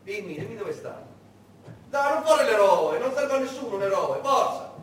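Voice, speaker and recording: A man's voice: high-pitched, lively intonation, normal speed, pitched above the performer's own voice. Man acting a menacing wartime interrogator, very echoey. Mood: coaxing menace. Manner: cajoling, threatening, building to shouting. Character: menacing interrogator, falsely friendly then enraged, wartime soldier. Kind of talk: theatrical monologue. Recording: very echoey stage, distant mic, faint noise.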